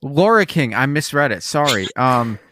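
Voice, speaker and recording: A man's voice: mid-range voice, big pitch swings, moderate pace, pushed and bright. A man co-hosting a podcast, introducing segments and reacting lightly. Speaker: podcast co-host. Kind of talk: casual podcast chat.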